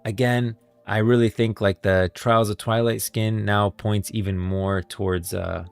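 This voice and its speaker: A man's voice: lowish voice, fairly even intonation, a little slow, creaky, clear, resonant tone, soft background music. A man hosting a casual livestream, talking about game lore in an enthusiastic, emphatic way. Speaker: livestream host and video creator.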